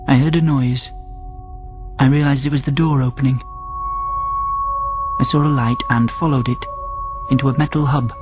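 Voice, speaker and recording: A man's voice: mid-range voice, lightly acted. Man acting a lonely immortal space prisoner, music behind. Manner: reflective, measured first-person narration. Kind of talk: radio drama monologue. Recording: loud music bed under the voice.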